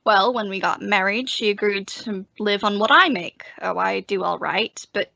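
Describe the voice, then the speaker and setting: A woman's voice: mid-range voice, normal speed, creaky, pushed and bright, no room sound. A woman playing an earnest, lovelorn young American husband in a folksy 1940s radio comedy manner. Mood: confiding. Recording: dull, dry lo-fi recording.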